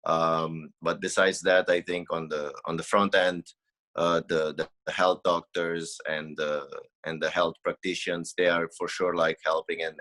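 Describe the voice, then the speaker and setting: Brief: very low, forceful male guest from Mozambique, earnest and halting. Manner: earnest, searching for words. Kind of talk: casual interview talk.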